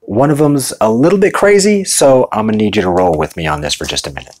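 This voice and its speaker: A man's voice: lowish voice, expressive, fast, a little mellow. A middle-aged American man talking informally and conversationally in a casual show-and-tell vlog. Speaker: video host.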